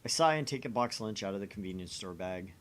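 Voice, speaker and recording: A man's voice: fairly low pitch, animated, fast, close to an everyday voice. A man voicing a theatrical, grumbling young mad scientist, sardonic and dramatic, in a visual novel read-through.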